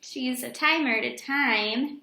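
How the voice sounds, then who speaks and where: Higher-voiced woman reading aloud in echoey room. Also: a little slow, slight background noise. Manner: expressive, explains to children in simple words. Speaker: elementary school teacher. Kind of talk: picture book read-aloud for young children with explanatory asides. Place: indoor room with echo.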